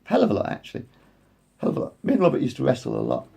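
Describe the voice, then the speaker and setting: A man's voice: medium pitch, huge pitch swings, racing, creaky, mellow, husky. A man in his late forties rambling to his phone camera, repeating and correcting himself as he wanders between thoughts. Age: 40s. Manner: repetitive, meandering, self-correcting. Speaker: vlogger. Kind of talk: rambling casual monologue to camera. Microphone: phone front camera.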